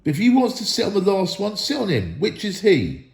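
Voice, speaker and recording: A man's voice: high voice, wildly swooping pitch, brisk, gravelly, a little mellow, voice pushed up, heavily acted. A man voicing a touchy, squabbling storybook troll, snappy and ungrammatical, in an echoey room. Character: touchy, coarse, squabbling male troll named Tom.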